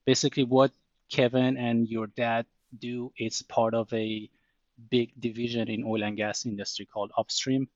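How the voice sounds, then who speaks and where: A man, a podcast guest, explaining his work in a measured way with frequent pauses. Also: lowish voice, dry recording, lo-fi sound. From Iran. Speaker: guest, oil trading commercial operator. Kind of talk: casual interview answers.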